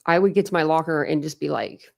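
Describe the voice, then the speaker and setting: Female livestream host, Midwestern accent, slightly low register with a gravelly fry, chatty and rambling. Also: animated, fast. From Ohio. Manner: rambling, heavy use of "like", restarts. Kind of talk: casual rambling chat.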